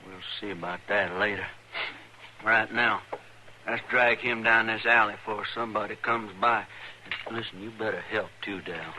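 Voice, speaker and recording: A man's voice: lowish voice, fairly even intonation, moderate pace, bright, forceful tone, slight background noise, low-quality recording. An actor playing a hard young American outlaw henchman, curt, bossy and threatening, in an old radio Western. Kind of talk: 1950s radio Western acting.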